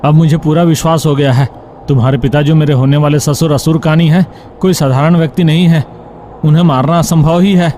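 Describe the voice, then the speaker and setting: A man's voice: a little high, steady tone, a little fast, dark tone, a little hiss or hum, pitched above the performer's own voice, natural voice. A man voicing an earnest, cautious young groom-to-be in a cartoon folk tale. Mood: convinced. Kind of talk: animated folk-tale dialogue.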